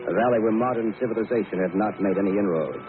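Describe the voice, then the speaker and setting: An actor playing a grave, level-headed adventurer, narrating and speaking in a measured way in an old radio drama. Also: male voice, on the low side, close to an everyday voice. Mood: narrating tensely. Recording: noisy, tinny old radio recording.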